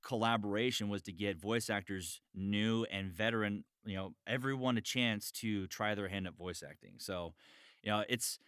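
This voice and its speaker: A man's voice: on the low side, moderate pace, a bit bright, clear, resonant tone, no echo, clean studio sound. A man hosting a podcast about voice acting in casual chat. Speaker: podcast host, voice actor.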